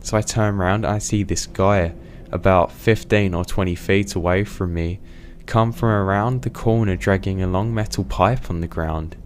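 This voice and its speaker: A man narrating a true horror story in the first person, in a tense, deliberate storytelling manner. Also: low-pitched, steady tone, slight background noise.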